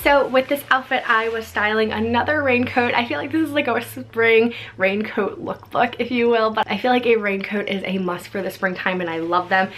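A woman's voice: on the high side, moderate pace, hardly any pauses, fairly bright tone, faint music behind. Bubbly chatty young woman vlogger. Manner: bubbly and chatty, many fillers like "like" and "so cute". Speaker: fashion vlogger. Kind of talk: casual fashion haul talk. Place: pink-decorated bedroom, indoors.

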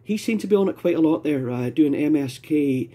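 Husky Scottish man, mellow and higher-pitched. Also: expressive, a little fast. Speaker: amateur radio operator. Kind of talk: casual ham radio chat.

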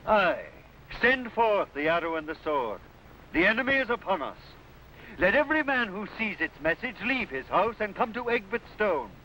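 A bright-voiced, solemn warrior king. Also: sits high, sing-song, moderate pace, exaggerated voice. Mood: resolute. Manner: solemn, declamatory. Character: grave, resolute medieval English warrior king in exile. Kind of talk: 1950s television adventure acting. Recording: noisy old 1950s film soundtrack.

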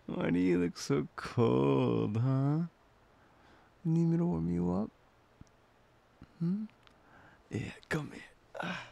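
A man performing an intimate boyfriend ASMR roleplay, murmuring softly and tenderly, breathy and often dropping to a whisper. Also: fairly high pitch. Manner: soft, tender, murmuring with long pauses.

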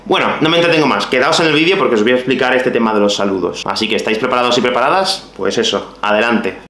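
A man in his thirties from Málaga, with a softened Andalusian accent, explaining things to the camera for language learners in an animated, direct way. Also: medium pitch, fast, roomy sound. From Málaga, Spain. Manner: animated, gestures with his hands, addresses viewers directly. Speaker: Spanish teacher. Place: small room at home.

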